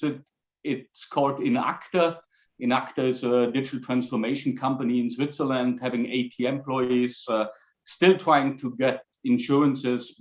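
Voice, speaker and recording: Man speaking halting, English. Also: medium pitch, roomy sound, highs cut off. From Austria. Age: middle-aged. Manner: halting, many fillers and restarts, dry humor. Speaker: guest, company founder. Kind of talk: casual interview answers.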